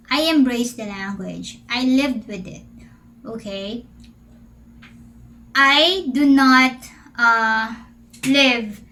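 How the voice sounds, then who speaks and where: A woman's voice: on the high side, pushed and bright, slight background noise, poor audio. A Filipino woman, an English teacher who grew up speaking Filipino, chatting casually in a Q&A vlog, asking questions and stretching her words. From Philippines. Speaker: English teacher and channel host.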